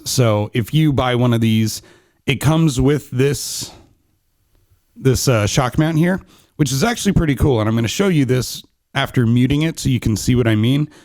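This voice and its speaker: A man's voice: medium pitch, no echo. Chatty man close on a condenser mic. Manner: chatty, relaxed, many ums and restarts. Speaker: podcast gear reviewer. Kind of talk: casual microphone review. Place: small quiet home studio room. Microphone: studio condenser on boom arm, close, no pop shield.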